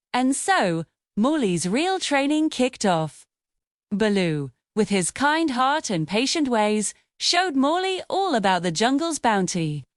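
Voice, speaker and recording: A woman narrating a scripted children's bedtime story with expressive storybook delivery, recorded as a close voice-over. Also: a little high, no room sound.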